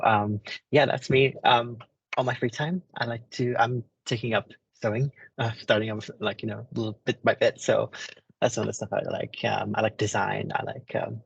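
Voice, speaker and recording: A speaker from the Middle East explaining terms on a video call, pausing often with ums and restarts. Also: male voice, lowish voice, vocal fry, slightly rough, dry recording, dull audio. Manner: hesitant, many ums and restarts. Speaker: panel speaker. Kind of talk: explanatory talk.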